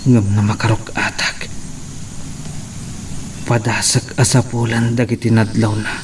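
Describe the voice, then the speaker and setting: Slow, anguished captive played by a man. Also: on the low side, flat delivery, close to an everyday voice. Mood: anguished, determined. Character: weakened male captive, bound, desperate but resolute. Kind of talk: dramatic monologue in radio drama. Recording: distant mic, clear night-insect noise.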